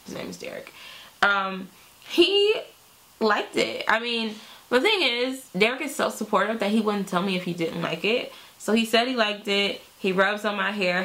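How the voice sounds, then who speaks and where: A woman's voice: medium pitch, animated, normal speed, a bit bright. A woman in her thirties chatting casually to camera on her channel, upbeat and full of "like" and "y'all". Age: 30s. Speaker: beauty vlogger.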